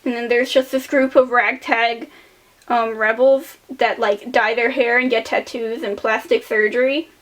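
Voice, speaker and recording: High-voiced young woman with a lisp. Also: fairly even intonation, unhurried, fairly bright tone, poor audio. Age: late teens. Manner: self-deprecating, offhand. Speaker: artist showing old sketchbooks. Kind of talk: casual rambling voice-over commentary.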